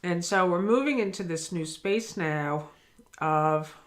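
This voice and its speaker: A woman's voice: lowish voice, slow. A woman giving a daily spiritual lesson, earnest and encouraging, speaking directly to the listener. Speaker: spiritual teacher.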